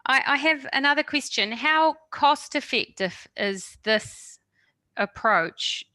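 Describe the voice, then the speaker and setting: A woman's voice: high voice, animated, takes it slowly, bright, forceful tone, no room sound. A woman with a New Zealand accent, moderating an online Q&A and putting questions to a presenter, encouraging and polite, often saying "excellent". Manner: encouraging, apologetic, repeats "excellent". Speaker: workshop moderator.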